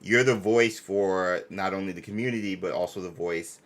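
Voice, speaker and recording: A man's voice: low-pitched, normal speed. A man hosting a gaming fan channel, chatting casually and effusively in slangy, bro-heavy speech. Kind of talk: casual live-stream chat.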